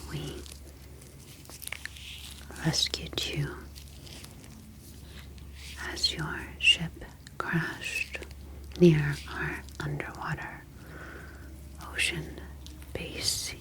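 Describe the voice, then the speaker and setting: A woman playing a calm, formal humanoid alien scientist in an ASMR roleplay, speaking in soft, breathy whispers with measured, deliberate phrasing. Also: sits low.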